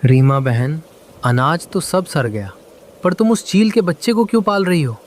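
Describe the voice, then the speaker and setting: Guy doing a worried pigeon friend, a bit high, earnest, cartoon, slight noise. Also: animated, normal speed, lightly acted. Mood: worried warning. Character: wary, cautioning male pigeon friend. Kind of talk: Hindi moral-story cartoon dialogue.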